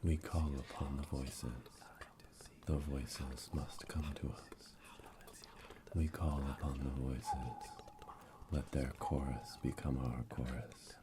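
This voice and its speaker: A man voicing a solemn witch coven elder in an ASMR roleplay, hushed and breathy, chanting ominously close to a binaural microphone. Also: extremely low pitch, fairly even intonation, heavy background noise. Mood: solemn, incantatory. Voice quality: breathy whisper. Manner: slow, incantatory, repetitive, ominous.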